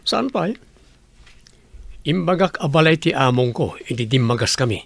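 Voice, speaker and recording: A man with an Ilocano accent and a slightly husky voice, acting an earnest, humble young village suitor in a radio drama. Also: medium pitch, animated, normal speed, no echo. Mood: casual.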